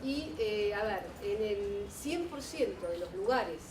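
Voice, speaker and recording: A woman's voice: mid-range voice, expressive, slow, background noise. Argentine woman, audience member pressing a question, echoey hall, distant mic. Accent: Argentine Spanish. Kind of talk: panel discussion question.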